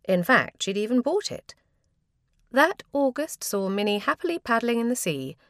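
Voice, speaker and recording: A woman narrating a comic short story in a wry, arch, expressive manner. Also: fairly high pitch, normal speed, dry recording, clean studio sound. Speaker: story reader.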